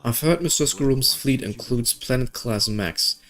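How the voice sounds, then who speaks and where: A man's voice: medium pitch, normal speed, a bit bright. A man reading video game story dialogue aloud and adding casual commentary, occasionally stumbling over words. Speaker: gaming video creator. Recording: music behind the voice.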